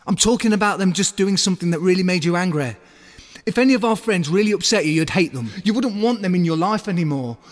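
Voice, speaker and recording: Audio drama boyfriend male actor: high voice, wounded and accusing, blunt breakup delivery, reverberant hall sound. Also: expressive, quick pace. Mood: cold, insistent. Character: hurt, accusing boyfriend ending a relationship.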